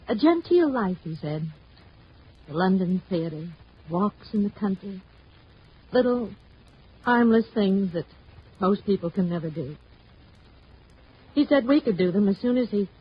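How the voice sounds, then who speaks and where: Husky, guarded radio noir mistress. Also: female voice, medium pitch. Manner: halting, dramatic. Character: guarded, wistful mistress caught in a crime, female. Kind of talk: 1950s radio noir acting. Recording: dull vintage radio recording.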